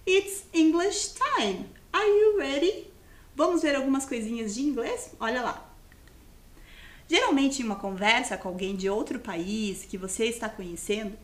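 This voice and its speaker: Woman, 30s, Brazilian Portuguese accent, TV teacher, encouraging, slow clear drills, echoey studio. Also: high-pitched, a bit bright. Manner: encouraging, asks viewers to repeat after her; speaks English phrases slowly and clearly. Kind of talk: educational TV lesson. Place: TV studio with screen behind.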